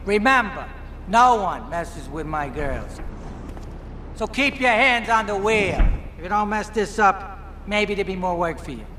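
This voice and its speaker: An actor playing a sleazy, pushy mob boss giving orders. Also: male voice, high voice, expressive, pushed and bright, a little hiss or hum, full character voice. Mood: bossy. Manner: brash, demanding, fast-talking.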